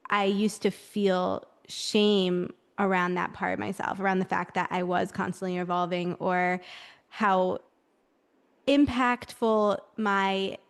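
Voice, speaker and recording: A woman's voice: mid-range voice, steady tone, slow, clean tone. A young woman hosting a podcast, asking thoughtful questions and affirming her guest. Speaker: podcast host. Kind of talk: interviewing.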